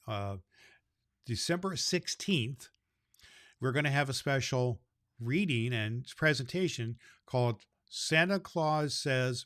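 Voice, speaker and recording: A man's voice: medium pitch, a bit bright, no room sound, studio quality. A man playing a kindly, jolly old Santa Claus, hosting a children's show in a folksy, effusive way. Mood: cheerful, inviting. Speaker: host.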